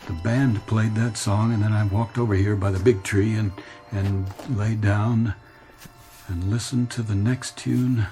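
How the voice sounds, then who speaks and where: An actor playing a desperate man in a radio drama, earnest and imploring, with halting delivery. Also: fairly low pitch, fairly even intonation, warm tone, close to an everyday voice. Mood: wistful, reminiscing. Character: desperate grown man pleading with parents and younger self. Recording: radio drama with music underneath.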